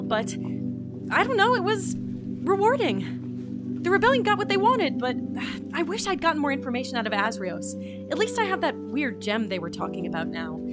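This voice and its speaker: Awkward well-meaning adventurer, female actor, high lively voice, hesitant informal delivery, audio drama. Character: eager, flustered young interdimensional agent, awkward, well-meaning. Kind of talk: audio drama dialogue and mission-log narration.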